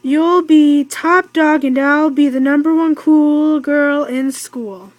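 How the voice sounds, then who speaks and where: A woman reading the part of a vain, scheming mean girl in a children's storybook, plotting in a halting way. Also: high-pitched, dry recording, soft background music, poor audio. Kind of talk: children's storybook read-aloud.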